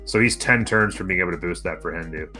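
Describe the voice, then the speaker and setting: A man co-casting a game in casual live commentary, halting as he thinks aloud. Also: low-pitched, pushed and bright, faint music behind. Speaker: co-caster and streamer.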